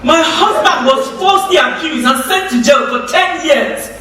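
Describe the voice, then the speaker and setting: Distant, echoing stage recording. Actress as proud Nigerian wife in grief, dignified and declamatory. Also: female voice, sits high, expressive, normal speed, fairly bright tone. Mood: indignant. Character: proud, grieving wife of a jailed politician. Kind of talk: theatrical stage acting. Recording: very echoey stage, far mic, faint noise.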